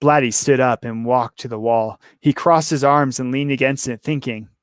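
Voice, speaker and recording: A hoarse man hosting an interview, talking casually with many restarts and reading the narration of a story. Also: medium pitch, fairly even intonation, moderate pace, no room sound, clean studio sound. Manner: rambling, many restarts and fillers. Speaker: podcast host.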